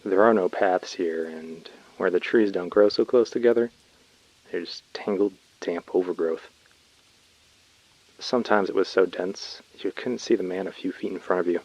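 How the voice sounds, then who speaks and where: A man's voice: sits low, moderate pace, a little mellow, highs cut off. A man playing a weary, uneasy colonist recording a subdued, brooding field message in a sci-fi audio drama. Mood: weary, grim.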